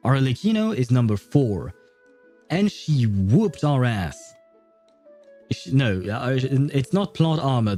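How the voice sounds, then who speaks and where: A man's voice: medium pitch, dark tone. A man livestreaming a video game, chatting casually and rambling in an informal way. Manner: informal, rambling, mild swearing. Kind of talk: casual livestream chat and commentary. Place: indoor streaming setup, dry. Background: faint game music.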